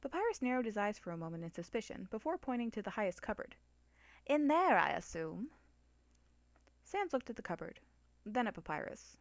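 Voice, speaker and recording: A woman's voice: medium pitch, big pitch swings, fast talker, darkish tone, dry recording. Woman narrating a story, dry recording. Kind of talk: scripted story narration, dramatic reading.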